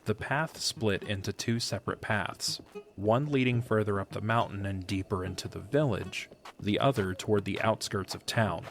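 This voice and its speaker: A man reading scripted narration for a fantasy audio drama in a measured storytelling manner. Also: on the low side, vocal fry.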